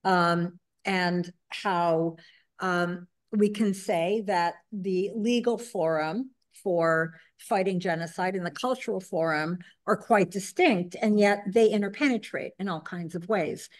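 A woman's voice: lowish voice, takes it slowly. Woman moderator, academic, articulate, thinks aloud at a panel table. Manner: articulate, academic, some stammering restarts. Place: conference room panel table.

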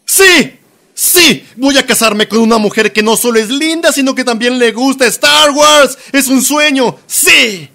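A man with a Latin American accent playing an anxious, excitable young man in love in a comedy fan dub. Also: extremely high pitch, measured pace, heavily acted. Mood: elated. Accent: Latin American Spanish.

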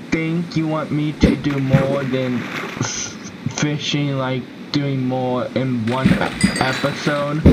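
A man giving casual gameplay commentary in a halting way, with sentences that trail off. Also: mid-range voice. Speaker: gaming video host. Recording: very noisy home game recording with clicks.

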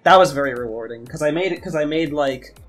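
A young man in casual podcast chat as a co-host, talking in a halting way and often rephrasing as he goes. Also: a little high, a bit bright. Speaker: podcast co-host, game designer. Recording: music behind the voice. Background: background music.

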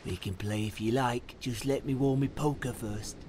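A man acts out a mocking fantasy forge hand, full of salty dialect and taunts. His mid-pitched voice sits over faint noise and ambience. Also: steady tone, normal speed, a little mellow, lightly acted. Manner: taunting, folksy dialect with archaic sailor slang. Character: gruff, mocking male forge worker in a seafaring fantasy town. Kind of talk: fan-made fantasy game drama acting.

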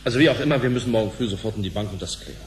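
A man's voice: fairly low pitch, very fast, a little hiss or hum, natural voice. An actor playing an earnest, polite, cautious businessman in a radio play, speaking in a formal and hesitant manner. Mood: worried. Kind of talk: German radio play acting.

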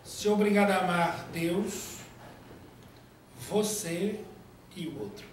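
A man's voice: high-pitched, slow pace, far from the mic, background noise. A Brazilian man in his forties, a spiritist lecturer talking informally and teasingly with his audience in a small room. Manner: conversational, teasing, questioning. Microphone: far camera. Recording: echoey room, picked up from afar.

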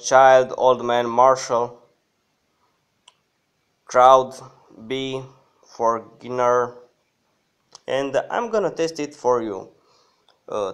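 Slow-talking man walking through an app. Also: mid-range voice, fairly bright tone, clean tone. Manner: halting, hesitant. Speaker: app review host. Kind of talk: casual screen-recorded app tutorial.

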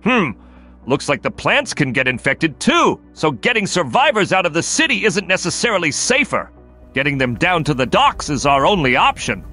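A man plays a commanding crocodile detective boss in a comic dub. His voice is high for a man, forceful and very animated, brash and gruff in temper, with music playing behind him. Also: normal speed, exaggerated voice. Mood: businesslike. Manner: commanding, barks orders.

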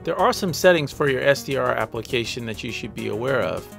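Male tutorial narrator, mid-pitched with a gravelly edge, instructional delivery for a tech explainer. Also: expressive, fast, fairly bright tone, soft background music. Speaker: tutorial host.

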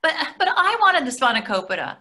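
A woman plays an emphatic older wife in a stage comedy read on a video call. Her voice is fairly high and bright, swinging with petulance and admiration. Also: brisk, heavily acted. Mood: petulant. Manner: expressive, emphatic. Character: hungry, petulant yet admiring middle-aged wife. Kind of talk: readers theatre comedy acting. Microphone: computer microphone on video call.

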